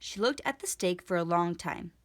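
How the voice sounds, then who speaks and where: A woman reading a storybook aloud for children in an expressive, deliberate storytelling manner. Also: on the low side. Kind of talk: scripted storybook read-aloud for kids.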